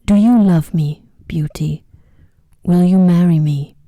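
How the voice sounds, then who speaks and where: Storybook beast, played by a female narrator: restrained, courteous, slow, soft whispery low-leaning voice, very dark tone. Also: dry recording, clean studio sound. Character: gruff but gentle, lonely male beast.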